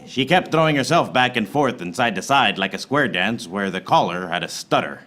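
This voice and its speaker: A man's voice: on the low side, lively intonation, moderate pace, sharp tone. A man playing a hapless, wisecracking private eye who narrates his case in a film noir radio parody, recorded live in a theater. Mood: deadpan. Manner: wry, self-aware wisecracking. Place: theater stage before a live audience.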